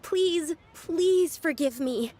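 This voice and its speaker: Earnest young female academic for a video game, high-pitched, courteous and sincere. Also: lively intonation, very slow, dark tone, full character voice. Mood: nervous, apologetic. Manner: polite, sincere, slightly timid. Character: earnest, apologetic, polite young woman scholar. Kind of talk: English video game voice acting.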